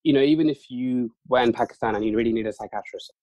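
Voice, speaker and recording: A man's voice: medium pitch, darkish tone, no echo, lo-fi sound. A young man whose first language is Urdu, talking casually as an interview guest, with many fillers and restarts. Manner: fast, chatty, lots of fillers and restarts. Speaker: interview guest, junior doctor.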